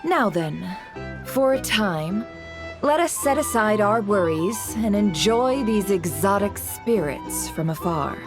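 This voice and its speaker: A shrewd, self-possessed woman boss in a video game. She speaks slowly and formally in a mid-range voice with a teasing edge, faint music behind her. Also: mid-range voice, expressive. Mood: gracious, formal. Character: poised, shrewd, sly woman boss and information broker.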